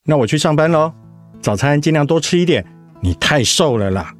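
A man's voice: on the high side, lively intonation, fairly quick, soft background music, close to an everyday voice. A man playing a doting husband, lightish voice. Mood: caring. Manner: affectionate, coaxing. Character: tender, doting young husband fussing over his wife. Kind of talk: radio drama acting.

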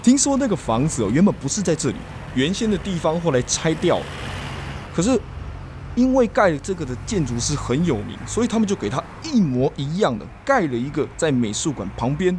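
A man's voice: on the high side, sing-song, fairly quick, a little mellow, slight background noise. An actor playing an eager, chatty suitor in a radio drama, stammering and rambling as he talks. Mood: chatty, helpful. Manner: stammering, rambling, sentence-final particles. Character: eager, chatty, flustered suitor proposing to a woman.

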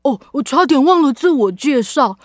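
High-voiced, flustered grumpy witch. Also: animated, normal speed, no echo, clean studio sound. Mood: flustered, hesitant. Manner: hesitant, huffy, stammering. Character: grumpy, flustered crybaby witch aunt, secretly kind. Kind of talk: children's audio drama acting.

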